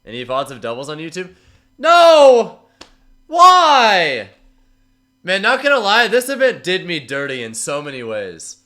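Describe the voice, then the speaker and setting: A man with a stuffy, congested voice from a cold, chatting casually with his stream viewers and reading out their messages in a relaxed, rambling way. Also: sits high, measured pace. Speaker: streamer analyzing tournament matches.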